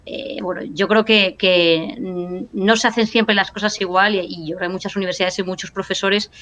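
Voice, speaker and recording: A woman's voice: medium pitch, steady tone, moderate pace, a bit bright, slight background noise. A woman lecturer and analyst talking in a casual panel discussion, thinking aloud with restarts and tag questions. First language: Spanish. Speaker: university lecturer and analyst guest.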